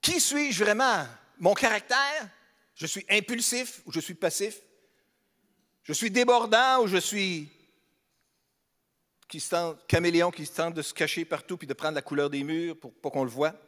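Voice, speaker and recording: Québécois male pastor, high voice, chatty joking sermon, long church reverb. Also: a bit bright. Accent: Québécois French. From Quebec, Beauce region. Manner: conversational, humorous, asks the congregation rhetorical questions. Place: church hall.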